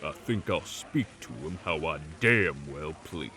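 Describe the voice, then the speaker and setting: A man's voice: very deep voice, animated, unhurried, pushed and bright, full character voice. A man playing a cocky, menacing supernatural brawler, in an audio drama. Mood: taunting menace. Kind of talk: audio roleplay drama acting.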